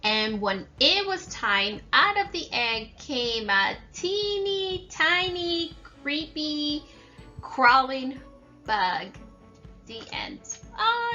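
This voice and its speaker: A woman's voice: sits high, bright, forceful tone, muffled recording. A woman reading a children's story aloud and explaining it like a teacher.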